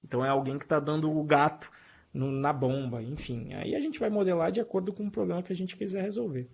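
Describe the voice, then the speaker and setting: Brazilian man, programming teacher, husky and creaky voice, colloquial explanations, live online lesson, slightly muffled lo-fi sound. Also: fairly high pitch, quick pace, a little mellow. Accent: Brazilian Portuguese. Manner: colloquial, explanatory, checks in with listeners. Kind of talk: informal live coding lesson. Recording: dull lo-fi online stream.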